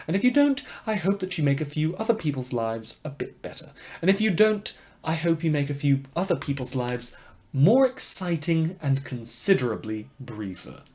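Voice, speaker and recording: A man's voice: on the high side, a little mellow. A man playing a polite but murderous radio host in a fiction podcast, wordy and theatrical, with a genteel, mock-apologetic manner. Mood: calm confession. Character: polite, murderous, inhuman male radio host, darkly cheerful. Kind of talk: scripted fiction podcast monologue as radio broadcast.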